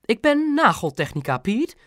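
A man voicing an excitable, dramatic mother with an emphatic delivery in an audiobook. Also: high-pitched, normal speed, dry recording, clean studio sound, pitched above the performer's own voice. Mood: snappish. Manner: gushing, emphatic, scolding when crossed. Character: excitable, dramatic mother of a boy, proud nail technician. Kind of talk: audiobook character voice.

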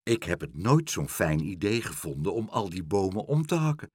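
A man voicing a mild, dreamy mayor in a children's storybook, musing and gently pleased. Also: deep voice, big pitch swings, moderate pace, husky, no echo, clean studio sound, heavily acted. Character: mild, dreamy, delighted mayor who loves art.

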